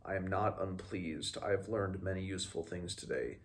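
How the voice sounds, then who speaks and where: A man reading the part of a cold, imperious immortal queen from a fantasy novel in a measured, commanding way. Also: deep voice, natural voice.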